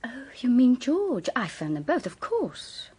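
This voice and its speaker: A slow, breathy, seductive murderess. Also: female voice, high voice, wildly swooping pitch, darkish tone, exaggerated voice. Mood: mocking, seductive. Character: coolly amused murderess, seductive, remorseless, confessing to poisonings. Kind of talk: radio drama acting.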